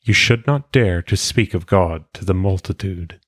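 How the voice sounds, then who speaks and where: A man reading philosophical maxims aloud in a solemn audiobook style, with measured, deliberate phrasing. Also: low voice, fairly bright tone, dry recording, clean studio sound. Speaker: reader.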